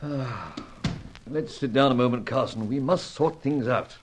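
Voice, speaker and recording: A man playing a courteous, anxious senior diplomat and spy chief in a radio adventure serial, speaking formally and hesitantly in an old-fashioned gentlemanly way. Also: mid-range voice, unhurried, a little hiss or hum, lightly acted. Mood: troubled.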